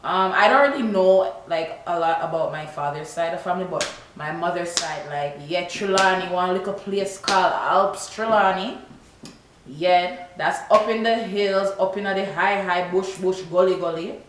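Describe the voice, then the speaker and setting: A woman's voice: lowish voice, moderate pace. A young woman from Toronto with a Canadian accent that slides into Jamaican Patois, chatting casually and animatedly to camera as a vlogger. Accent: Toronto English with Jamaican Patois. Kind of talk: casual chat to camera, answering tag questions. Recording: very echoey room with background hiss.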